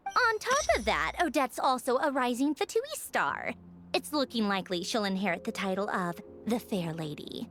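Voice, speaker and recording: A woman's voice: medium pitch, very animated, moderate pace, fairly bright tone, faint music behind. An actress playing a breezy, teasing female engineer in authority, in a theatrical, self-assured video game performance. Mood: playful, admiring.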